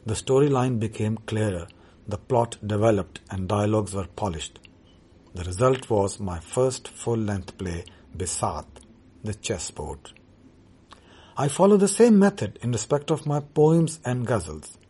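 A man whose first language is Urdu, talking about his writing as a poet in a measured, deliberate way. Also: fairly low pitch, expressive, warm tone, husky. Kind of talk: reflective talk on his writing.

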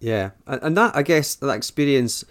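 A man's voice: medium pitch, expressive, moderate pace. A man hosting an interview, asking long, meandering questions full of hesitations. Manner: rambling, hesitant questions with fillers. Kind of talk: conversational interview.

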